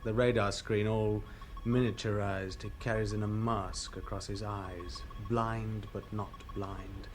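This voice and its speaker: A man acting in a 1960s radio drama as a knowing, scornful spaceship crewman, confiding in hushed tones. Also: on the low side, level tone, a little slow, close to an everyday voice. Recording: noisy, distant vintage radio recording.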